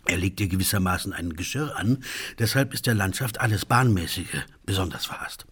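An actor playing an earnest railway engineer and mediator in a radio play, with a husky voice and courteous, long-winded official phrasing. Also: male voice, low voice, animated, brisk, a bit bright, a little hiss or hum.

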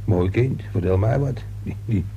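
A man playing a weary, gossipy apartment caretaker in a radio thriller, grumbling in halting, colloquial speech. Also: lowish voice, vocal fry, mellow, husky, muffled recording, full character voice. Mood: gossipy, sly. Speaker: actor in a radio serial. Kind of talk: Dutch radio thriller acting. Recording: noisy old radio play with hum.

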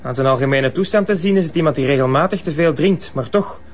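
An actor playing a cautious, proper doctor in a crime drama, speaking formally and in a measured way with a Flemish accent. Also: male voice, a little high, natural voice. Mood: concerned, reproving. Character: cautious, proper male doctor on a night call. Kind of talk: naturalistic TV crime drama dialogue. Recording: dull TV soundtrack with noise.

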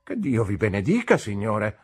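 A man's voice: medium pitch, sing-song, moderate pace, no room sound, pitched above the performer's own voice. A man voicing a brusque, forthright older woman with a direct, no-nonsense manner.

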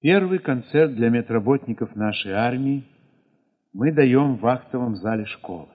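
Radio play: war-weary man remembering besieged children, tender and emotive, husky, very slow, swelling and hushing. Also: medium pitch, in a large hall, phone-quality sound. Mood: anxious, grave. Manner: measured, emotive, with dramatic swells and hushed drops. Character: war-weary male dance ensemble leader recalling besieged children, tender. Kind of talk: dramatic literary monologue in an audio play.

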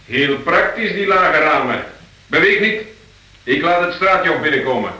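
An actor playing a cocky, impatient young robber on the run in a radio play, brash and mocking. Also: male voice, fairly high pitch, lively intonation, moderate pace, pushed and bright, echoey room, slight background noise, rough recording. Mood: cocky, threatening. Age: young adult.

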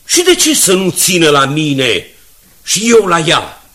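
A man's voice: a little high, huge pitch swings, sharp tone, full character voice. An actor playing an embattled, earnest middle-aged newspaperman and husband in a radio drama, pleading and remonstrating. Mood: exasperated. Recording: hissy 1980s radio drama recording.

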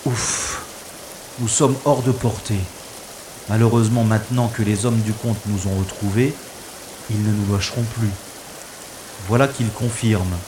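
A man's voice: lowish voice, steady tone, a little slow, pauses often, background noise, close to an everyday voice. A man playing a wisecracking male secret agent in a French science-fiction audio drama, with dry, ironic delivery. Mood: narrating calmly. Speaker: lead character in an audio drama. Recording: rain and water sound effects behind.

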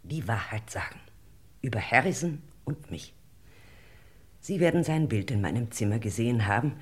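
Melodramatic gentlewoman, breathy voice, very lively pitch, old-fashioned florid delivery, radio crime play. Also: normal speed, full character voice. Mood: solemn, confiding. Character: genteel, melodramatic English lady confessing under questioning. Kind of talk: vintage German radio crime drama acting.

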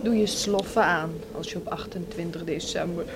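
An actress playing a languid wife in a radio drama, with a drawn-out, intimate way of talking. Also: female voice, fairly low pitch, steady tone, lightly acted. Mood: drowsy, tender. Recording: noisy old radio recording.

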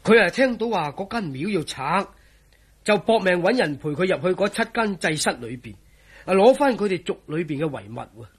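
A man playing a scoffing, jovial friend in a radio drama, teasing with drawn-out exclamations. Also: high-pitched, sing-song, slightly rough, exaggerated voice. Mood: scoffing, amused. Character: scoffing, jovial man, mocking a believer in legends. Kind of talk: Cantonese radio drama acting.

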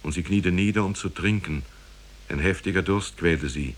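An actor playing a grave storyteller, reciting an old parable in a solemn, measured, formal way for a 1950s German radio play. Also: male voice, low-pitched.

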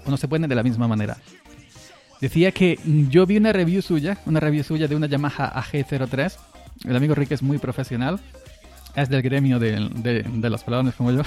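A man's voice: medium pitch, darkish tone. A man in his forties from southern Spain, hosting a casual live stream and chatting to listeners in a rambling way, often repeating words and chuckling. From Granada, Andalusia, Spain. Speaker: podcast host on a live stream. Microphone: desk mic through an audio interface. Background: faint background music.

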